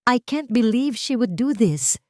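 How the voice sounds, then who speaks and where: A woman's voice: on the high side, expressive, measured pace, warm tone, no echo, studio quality, voice pushed up. A woman voicing a betrayed, bitter wealthy husband in an audio story, wounded and indignant. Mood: hurt, indignant.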